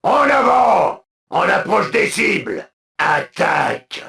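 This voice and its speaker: Zealous alien military commander, video game unit lines, heavy rasping creak, imperious, slow and deliberate, clipped orders. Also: male voice, high voice, very animated, pushed and bright, exaggerated voice. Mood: urgent, battle-ready, then focused, commanding.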